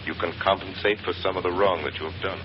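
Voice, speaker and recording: A man's voice: deep voice, steady tone, voice pushed down, lightly acted. A man doing an eerie, commanding unseen avenger. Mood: ominous. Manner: slow, commanding, ominous, mocking laugh. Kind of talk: 1930s radio drama acting. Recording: hissy lo-fi 1930s radio broadcast.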